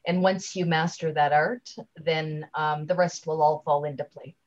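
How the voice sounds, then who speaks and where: A woman giving a conversational interview as a guest speaker, chatty and halting, often leaving sentences unfinished, on slightly dull audio. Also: sits low, fairly even intonation, darkish tone. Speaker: guest, LinkedIn trainer and speaker.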